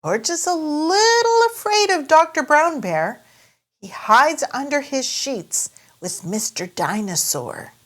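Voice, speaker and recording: A woman reads a picture story aloud for young children. Her voice is fairly high and very melodic. She phrases simply and carefully at an unhurried pace. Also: animated, a bit bright. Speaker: storyteller and host. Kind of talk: expressive children's read-aloud narration.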